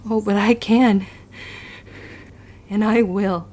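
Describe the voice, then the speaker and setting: A woman acting a wounded, vengeful young witch who narrates her own story in an audio drama, with deliberate, emotive delivery. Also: mid-range voice, fairly even intonation, darkish tone. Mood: cold fury.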